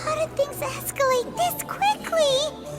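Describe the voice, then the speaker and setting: Squeaky, excitable little fairy. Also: female voice, takes it slowly, loud music behind the voice. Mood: shocked. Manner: refers to herself by name, exclamatory. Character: small excitable childlike fairy companion, talks in third person. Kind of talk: video game voice acting.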